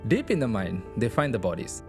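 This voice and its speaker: A man's voice: lowish voice, sing-song, a little fast. A man whose first language is not English, casually retelling a game's story as a video narrator. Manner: chatty, informal retelling. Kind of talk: casual game story recap. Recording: voice-over with loud game music underneath.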